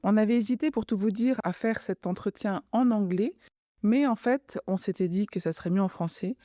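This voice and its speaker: A woman host asks a guest direct, plainly worded questions. Her voice is warm and mellow at a middle pitch, and the recording is dry and close. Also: moderate pace, no echo. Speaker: interviewer. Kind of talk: interview questions.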